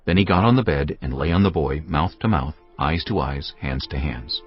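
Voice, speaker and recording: A man's voice: rumbling low, lively intonation, moderate pace. A man narrating scripture as a solemn, scripted dramatic story. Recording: muffled audio with music behind.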